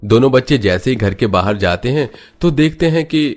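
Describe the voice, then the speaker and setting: A man's voice: medium pitch, animated, moderate pace, darkish tone. A man giving scripted narration for a cartoon moral story, as the narrator.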